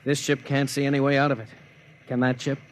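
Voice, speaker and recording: An actor playing an earnest, deferential junior starship officer in a 1950s radio drama, respectful and questioning. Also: male voice, medium pitch, level tone, a little fast, close to an everyday voice. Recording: noisy old 1950s radio recording.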